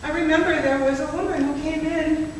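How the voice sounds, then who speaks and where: A woman voicing the fearful wife of a gas station owner, speaking in a measured, reflective way. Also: high-pitched, steady tone, close to an everyday voice. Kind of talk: spoken-word poetry performance. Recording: echoey hall from far off, noisy.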